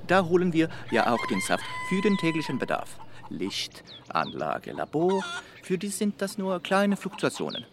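A man's voice: sits high, huge pitch swings, normal speed, noisy background, full character voice. An actor in a radio drama playing a matter-of-fact male technician who explains things plainly.

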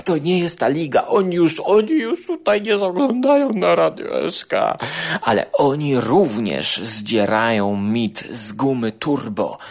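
A man's voice: on the high side, big pitch swings, a little slow, a little mellow. A man with a Polish accent hosting an informal podcast roundup, talking colloquially and repeating phrases for emphasis in a mock-aggrieved way. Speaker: podcast and video show host. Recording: music behind the voice. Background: music.

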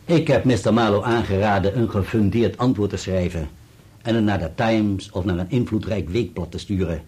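An actor playing a legal adviser in a radio play, speaking in a measured, formal and articulate way. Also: male voice, on the low side, darkish tone, slightly rough. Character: measured legal adviser reading out a written accusation. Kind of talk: Dutch radio play acting, formal reading.

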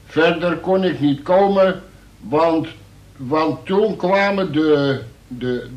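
An actor playing a police sergeant formally reading out a suspect's statement, with small stumbles, in a Dutch radio play. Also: male voice, a little high, takes it slowly, highs cut off. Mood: formal, deliberate. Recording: echoey room.

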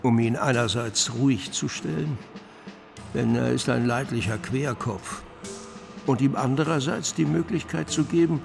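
A condescending, dismissive man muses in a radio play. His mid-pitched voice is creaky. Music plays clearly behind him. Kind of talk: radio drama acting.

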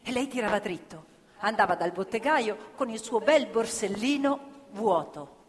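A woman narrating scripted theatre as an actor, expressive and deliberate in her phrasing. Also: mid-range voice. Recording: reverberant hall with live music. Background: faint live music.

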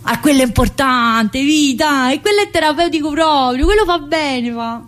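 A woman's voice: sits high, animated, normal speed. An actress playing a talkative, teasing, wounded woman prisoner in a theatrical monologue, with a southern Italian accent and a rambling, colloquial manner. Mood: reflective, bittersweet. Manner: rambling, colloquial, full of tag questions. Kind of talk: theatrical monologue in colloquial dialect-tinged speech.

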